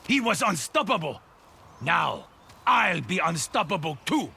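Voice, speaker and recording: Brief: a boastful villain swordsman for a game, gravelly and sing-song taunts, distant in the mix. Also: high voice, sing-song, measured pace, sharp tone, heavily acted. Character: boastful male shinobi swordsman villain, arrogant. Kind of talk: video game voice acting. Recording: game audio with ambient noise.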